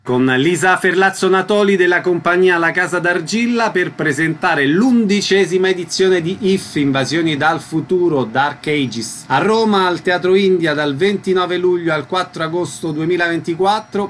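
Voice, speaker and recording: A man's voice: high-pitched, moderate pace, hardly any pauses, a bit bright, a little hiss or hum. Man hosting a radio arts show. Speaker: radio host. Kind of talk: program introduction.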